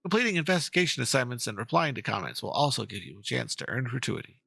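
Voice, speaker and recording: A man streams a game in a dry, close recording. His voice is animated and gravelly, moving between casual chat and read-aloud text. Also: mid-range voice, fast, no room sound.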